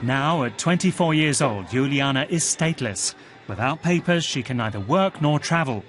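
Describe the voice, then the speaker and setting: A man narrating a television news report in a measured, scripted voice, also voicing translated quotes. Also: mid-range voice, soft background music. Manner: measured, even reporting delivery.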